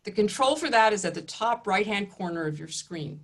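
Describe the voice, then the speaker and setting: A woman leading an online religious service, giving calm, formal welcoming remarks over a home video call. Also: mid-range voice, normal speed. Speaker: rabbi or educator leading a service.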